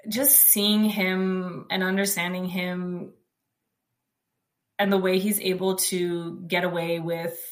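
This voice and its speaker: A young woman from the United States with a loud, outspoken voice, telling her life story in a chatty way with many fillers and restarts. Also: lowish voice. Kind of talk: personal storytelling, casual.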